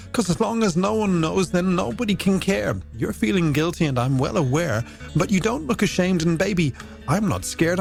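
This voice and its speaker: Playful Irish radio man, very animated pitch. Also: vocal fry. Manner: playful, florid, tongue-in-cheek wordplay. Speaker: late-night radio music show host. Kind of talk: scripted radio presenting with games and listener messages. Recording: music bed under the voice. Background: music.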